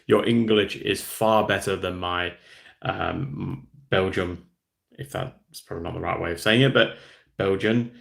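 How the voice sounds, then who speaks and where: Deep gravelly man chatting live. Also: fairly even intonation, measured pace. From Australia. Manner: rambling, reads out viewer comments. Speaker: hobby channel host. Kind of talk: casual livestream chat.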